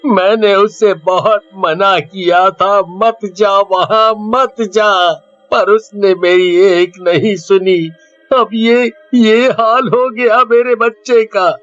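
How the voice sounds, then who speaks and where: A man playing a distraught father in a horror story, lamenting in halting phrases. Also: sits high, fairly even intonation, a bit bright, no room sound. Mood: grieving, shocked. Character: village young man's distraught father, grieving. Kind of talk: acted Hindi horror audio story. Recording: dull lo-fi sound with music.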